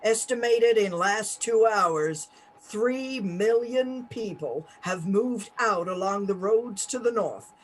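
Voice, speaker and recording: A man playing a grave, resolute radio announcer reporting a doomed city in halting, clipped phrases, in 1930s radio play style. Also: high voice, poor audio. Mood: urgent. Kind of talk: 1930s radio play news bulletin acting.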